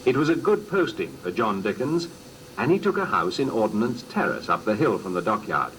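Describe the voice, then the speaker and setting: A man reading scripted documentary narration in a measured, formal storytelling manner, on an old cassette recording. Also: lowish voice, sing-song, a little hiss or hum, rough recording.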